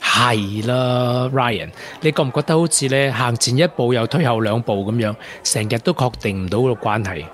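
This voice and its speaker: A man's voice: mid-range voice, normal speed, few pauses, a faint crowd, close to an everyday voice. An actor playing a sardonic man with romance woes in a Cantonese radio drama, with a Hong Kong accent. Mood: earnest. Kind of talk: radio drama acting, bar banter.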